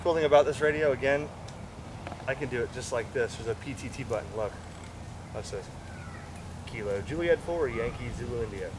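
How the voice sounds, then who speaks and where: A middle-aged American man talking casually and chattily, as an amateur radio vlogger demonstrating gear. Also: medium pitch. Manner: casual, chatty, spells call signs phonetically. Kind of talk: casual demonstration to camera with radio contact calls. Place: outdoors in a grassy yard by a pond. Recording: loud outdoor ambient noise.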